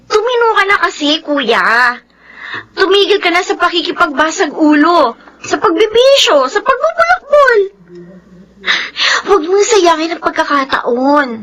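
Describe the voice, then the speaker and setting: A woman's voice: very high-pitched, big pitch swings, measured pace, a bit bright, full character voice. A young woman with a Filipino accent playing an earnest, sisterly, hardworking student in a radio drama, emotive and admonishing. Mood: earnest pleading. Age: young adult.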